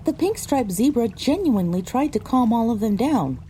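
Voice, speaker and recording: Woman voicing a bright-less, higher-pitched pony storyteller. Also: expressive, moderate pace, a little hiss or hum, close to an everyday voice. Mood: dramatic recounting. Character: frightened young female pony recounting her misadventure. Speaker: narrator. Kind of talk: first-person audio drama narration.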